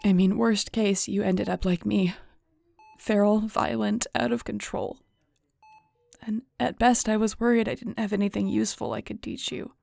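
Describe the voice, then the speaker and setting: A hurt, stubborn female werewolf alpha played by a woman in an audio drama, her voice full of creaky fry. She confesses haltingly and emotionally, doubting herself, recorded close and very dry. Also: mid-range voice, level tone, darkish tone, dry recording. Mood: weary, regretful. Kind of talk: audio roleplay drama acting.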